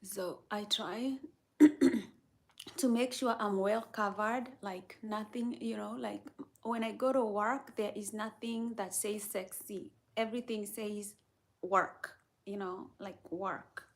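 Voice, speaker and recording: Slow rambly woman from kenya on a livestream, bit of fry in her voice, chatting to viewers. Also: medium pitch, fairly even intonation. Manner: unhurried, rambling, talks to viewers. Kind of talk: casual live-stream chat.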